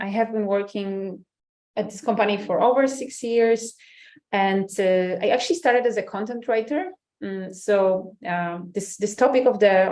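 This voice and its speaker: Woman, mid pitch, upbeat webinar host, casual and rambling. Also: mellow. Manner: upbeat, chatty, many fillers and restarts. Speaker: webinar host, head of marketing. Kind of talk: hosting an online webinar. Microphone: video call.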